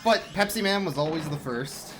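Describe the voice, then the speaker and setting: A man giving relaxed, chatty live commentary on his speedrun, explaining strategy as he plays. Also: on the high side, normal speed, music in the background, poor audio.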